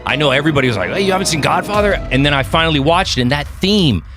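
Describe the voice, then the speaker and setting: A man from Mexico hosting a podcast in a studio, chatty, animated and slangy in casual interview talk. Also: on the high side, rapid-fire, faint music behind. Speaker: podcast host.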